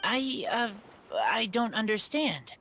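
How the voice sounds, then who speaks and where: A wild teenage boy from a video game, slow and halting. He speaks in simple, earnest phrases with marked rises and falls. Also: high-pitched, sing-song, sharp tone, faint music behind, exaggerated voice. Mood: confused. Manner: halting, plain short sentences, earnest. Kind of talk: animated video game cutscene acting.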